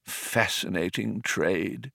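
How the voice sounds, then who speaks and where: A man voicing a kindly, absent-minded old man in an audiobook, speaking in a solemn, polite, unhurried and slightly wistful manner. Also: low voice, creaky, no echo, clean studio sound, exaggerated voice. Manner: vague, unhurried, wistful, gently formal. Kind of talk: audiobook character voice.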